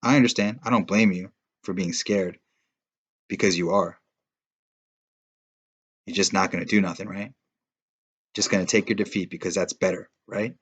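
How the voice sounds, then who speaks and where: A man's voice: low-pitched, fairly even intonation, normal speed, long pauses, husky. A man voicing a determined, sarcastic teenage girl who confronts her classmate in a read-aloud story. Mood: challenging.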